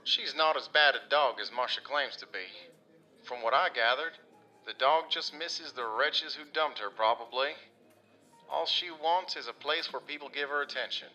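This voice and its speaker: An actor playing an earnest, rough-edged working man, folksy, halting and sincere, in an audio drama monologue. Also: mid-range voice, bright, forceful tone, muffled recording. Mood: wry, fond. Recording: music behind the voice.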